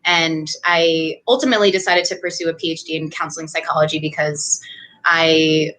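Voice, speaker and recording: A woman's voice: low voice, steady tone, normal speed, a bit bright, rough recording. A young woman from New Hampshire, a doctoral student talking casually as an interview guest, chatty with frequent fillers and laughs. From New Hampshire, USA. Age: young adult.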